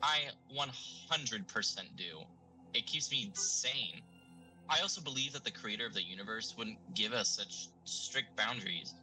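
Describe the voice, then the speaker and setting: Actor as bright-voiced laid-back young stoner guy. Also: fairly low pitch, animated, normal speed, faint music behind, poor audio. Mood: earnest. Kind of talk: audio drama acting.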